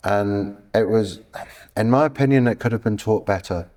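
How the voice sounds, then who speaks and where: A middle-aged man from Wales, a guest telling stories in a relaxed, reflective way during a casual interview. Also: lowish voice, moderate pace. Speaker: guest, astro-tourism guide.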